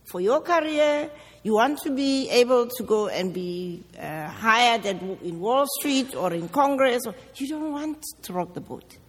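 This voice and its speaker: A woman with a South African accent answering audience questions at a public talk, deliberate and emphatic, with rhetorical questions. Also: on the high side, expressive, breathy edge. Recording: echoey hall with long reverb.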